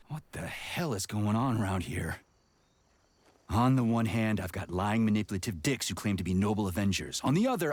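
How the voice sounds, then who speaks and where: A man playing a hot-tempered, sardonic military major with a gruff, irritable manner in a video game cutscene. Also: fairly low pitch, no echo. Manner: gruff, irritable, swearing, sarcastic.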